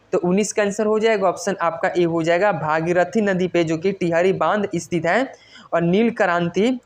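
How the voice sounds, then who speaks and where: Coaching teacher going thru quiz questions, mid pitch, keeps repeating and stressing the answers. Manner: repetitive, instructional, stressing answers. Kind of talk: quiz lesson, reading questions and explaining answers.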